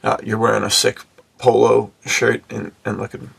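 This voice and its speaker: A man's voice: lowish voice, level tone, normal speed, creaky, a bit bright, husky, no room sound. A man hosting a podcast in casual chat, talking in a laid-back, slangy way with lots of "dude". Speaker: podcast host.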